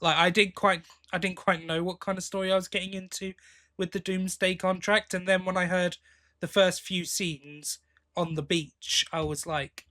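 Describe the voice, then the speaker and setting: A man's voice: high-pitched, little pitch movement, moderate pace, pushed and bright. A man hosting a podcast, talking in a casual, conversational discussion style. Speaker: podcast host.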